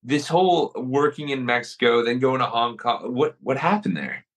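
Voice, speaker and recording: A man hosting a podcast interview, upbeat and asking quick follow-up questions. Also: medium pitch, expressive. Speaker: podcast host.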